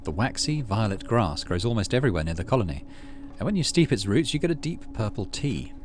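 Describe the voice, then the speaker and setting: A man doing casual, low-key game commentary and reading story text aloud as he plays. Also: very animated, a little fast. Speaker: gaming channel host. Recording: music behind the voice. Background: game music.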